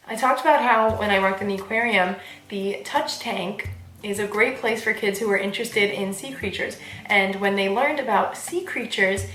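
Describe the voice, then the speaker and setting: A woman in her twenties giving casual advice in a chatty vlog, with a bubbly, animated, informal manner. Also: medium pitch. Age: 20s. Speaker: vlogger giving application advice. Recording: roomy echo, faint background music.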